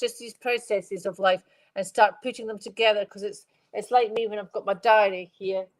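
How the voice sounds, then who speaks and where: Congested woman giving a gentle psychic reading. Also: mid-range voice, low-quality recording. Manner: gentle, rambling, repeats phrases, addresses viewers by name. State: congested, stuffed-up nose. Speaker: medium giving live readings. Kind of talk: live-stream spirit reading.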